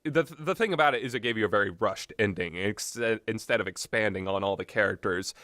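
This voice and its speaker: A man's voice: lowish voice, brisk, bright, forceful tone, no room sound, clean studio sound. Rambling, opinionated man reviewing games. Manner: blunt, opinionated, backtracks and goes on tangents. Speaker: video game and visual novel reviewer. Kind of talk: casual, rambling, unscripted review talk.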